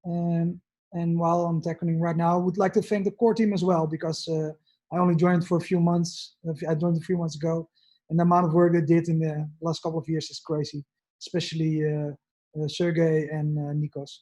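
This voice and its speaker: A man with a high voice and a mellow, soft tone. He talks informally and a little hesitantly over a video call. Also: flat delivery, fairly quick. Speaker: core team member. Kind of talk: casual livestream talk.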